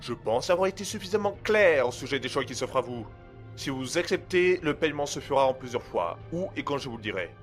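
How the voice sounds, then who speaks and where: A man playing a cold, menacing blackmailer in an audio drama, speaking in a smooth, condescending and formal way. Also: mid-range voice, expressive, brisk, soft background music, natural voice. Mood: coldly threatening. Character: cold, menacing male blackmailer and hacker, smugly in control. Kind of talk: amateur audio drama acting.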